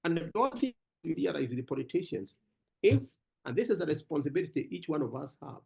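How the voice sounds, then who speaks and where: A man with a somewhat high, gravelly voice answers questions at an online conference. His pitch swings widely as he presses his points, and he often repeats words before landing them. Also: dark tone. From Africa. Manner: emphatic, repeats words, addresses listener as brother. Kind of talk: animated panel talk.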